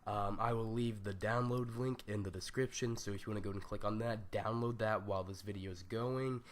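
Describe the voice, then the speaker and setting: Young male tutorial host, lowish and scratchy from a stuffy nose, loose and rambling, full of slang. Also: fairly even intonation, brisk. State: raspy, stuffed-up voice. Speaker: gaming tutorial youtuber. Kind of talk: casual screen-recorded tutorial.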